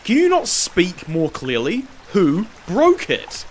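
A man's voice: high-pitched, huge pitch swings, slow pace, a little hiss or hum, pitched above the performer's own voice, full character voice. A man voicing a commanding, impatient young man in a told folk tale, with a curt, demanding manner. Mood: alarmed, demanding. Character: commanding young male lover, impatient, weary, quick-tempered.

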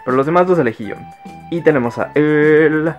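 A man's voice: a little high, a little fast, lo-fi sound. Young Mexican male vlogger, giggly and affectionate, handheld camera, faint music bed. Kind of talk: casual house tour vlog. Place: mobile home, indoors and outdoors. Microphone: handheld camera at arm's length.

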